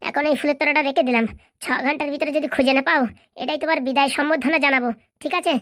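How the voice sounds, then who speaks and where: A woman performs a domineering cartoon boss lady with a very high voice. She scolds and commands in quick bursts, threatening whoever answers to her. The sound is close and dry. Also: steady tone, a bit bright, dry recording. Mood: stern, threatening. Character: bossy, threatening female boss in a comedy cartoon. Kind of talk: Bengali comedy cartoon dialogue.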